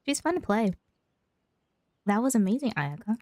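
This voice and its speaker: A woman's voice: fairly high pitch, big pitch swings, normal speed, a little mellow, dry recording. Casual woman game streamer, dry close sound. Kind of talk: casual live playthrough chat.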